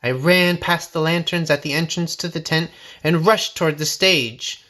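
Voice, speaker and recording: A man reading a folksy first-person story aloud in a dramatic, expressive, suspenseful way. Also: on the high side, normal speed. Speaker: storybook reader.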